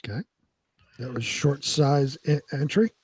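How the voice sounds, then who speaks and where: A man's voice: mid-range voice, fairly even intonation, measured pace, darkish tone, husky, no echo. A man co-hosting a live show in casual chat. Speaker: co-host.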